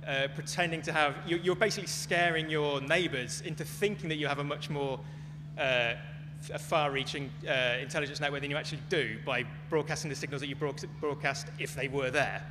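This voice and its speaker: A man's voice: fairly high pitch, steady tone, brisk. A man giving an informal, hedged explanation as a speaker answering audience questions at a live talk. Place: large echoey venue at a live talk. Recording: echoey hall, clear background noise, hum.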